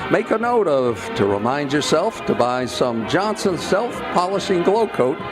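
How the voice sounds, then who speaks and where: A man's voice: a little high, big pitch swings, measured pace, over music. A man playing a genial old-time radio announcer, reading scripted sponsor copy and narration in 1940s style. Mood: smooth, suspenseful.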